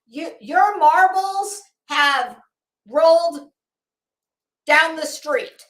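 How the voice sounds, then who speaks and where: A woman's voice: high-pitched, lively intonation, very slow. A middle-aged American woman doing casual livestream commentary in a blunt, opinionated, emphatic way, swearing freely. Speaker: YouTube commentary host. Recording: roomy, echoey room sound.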